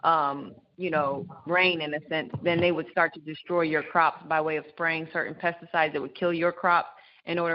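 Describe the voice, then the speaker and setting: Female discussion participant, very low flat voice, informal and rambling, on dull call audio. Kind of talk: casual discussion, recounting.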